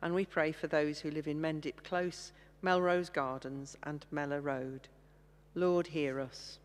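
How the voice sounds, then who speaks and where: A woman leading intercession prayers in a church service, reading slowly and steadily at a stand microphone. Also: deep voice, steady tone, a little hiss or hum. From England. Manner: slow, measured reading. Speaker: intercessions leader. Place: large church, indoors. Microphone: stand mic, far camera. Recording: long church reverb.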